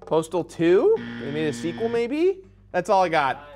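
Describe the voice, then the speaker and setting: A man in his thirties speaking casually as a contestant on a studio game show, thinking out loud. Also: high-pitched, wildly swooping pitch, unhurried, faint music behind. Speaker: game show contestant, online video host. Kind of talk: casual, unscripted quiz answers. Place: indoor studio set.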